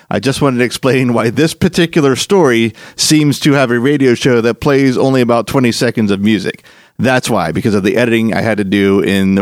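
A man's voice: medium pitch, no echo, studio quality. A man hosting a podcast, giving an explanatory spoken introduction. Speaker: podcast host.

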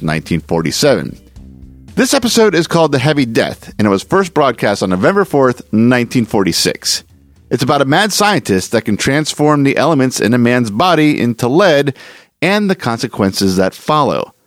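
A man hosting a podcast, reading a scripted introduction. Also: mid-range voice. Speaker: podcast host. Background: music.

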